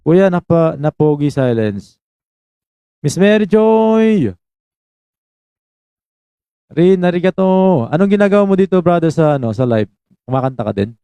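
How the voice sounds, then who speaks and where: A man's voice: on the high side, very animated, normal speed, long pauses, mellow, clean tone, dry recording. A man in his twenties with a Filipino accent, hosting a casual live stream, switching between Tagalog and English and calling out viewers by name. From Philippines. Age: 20s.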